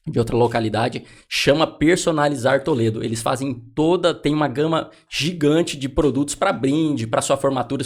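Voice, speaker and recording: A Brazilian man co-hosting a podcast, casually reading sponsor plugs and putting questions to a guest. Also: mid-range voice. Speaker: podcast co-host.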